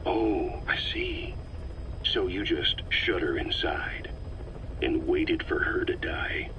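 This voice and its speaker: A man's voice: low-pitched, sing-song, slow, pushed and bright, exaggerated voice. An actor playing a mocking, coldly accusing male interrogator in a video game, sarcastic and pressing. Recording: noisy cassette tape recording.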